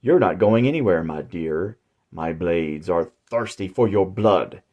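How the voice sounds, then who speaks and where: A man's voice: low voice, animated, unhurried, breathy edge, muffled recording, heavily acted. A man doing a gloating old cannibal killer. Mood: menacing. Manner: sly, gloating and menacing. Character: old male cannibal killer, mocking and cruel.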